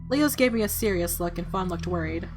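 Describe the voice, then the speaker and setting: A woman's voice: fairly low pitch, brisk. Woman narrating a game over music. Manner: expressive, playful asides. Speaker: gamer reading a visual novel aloud. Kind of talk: dramatic narration mixed with casual playthrough commentary.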